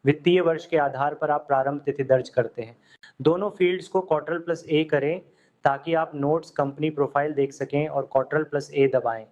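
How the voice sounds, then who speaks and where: Guy walking you through accounting software one click at a time, a bit high-pitched, muffled lo-fi sound. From India. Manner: steady step-by-step instruction. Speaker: software tutor. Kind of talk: screen-recorded software tutorial. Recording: dull lo-fi audio.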